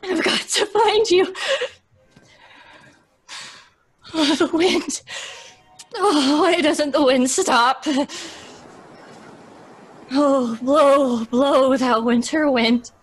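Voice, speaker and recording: An actress playing a frightened, fragile woman slipping into hysteria in an old-time radio horror drama, halting and pleading. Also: sits high, faint music behind. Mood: hysterical despair.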